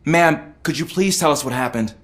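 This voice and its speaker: A man's voice: on the high side, normal speed, a bit bright, close to an everyday voice. An actor playing an eager, chatty young male rookie cop in a video game, with a casual, wry way of talking.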